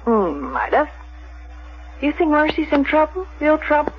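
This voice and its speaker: A woman's voice: high voice, sing-song, a little slow. An actress playing a plain, middle-aged small-town woman, hesitant and wistful as she reminisces in a 1970s radio drama. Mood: hesitant, probing. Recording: dull vintage 1970s radio recording.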